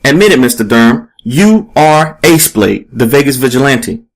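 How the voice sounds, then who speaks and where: A man's voice: medium pitch, animated, moderate pace. A man voicing a pushy, relentless female investigative reporter in an audiobook story, with an emphatic, probing delivery. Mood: accusing.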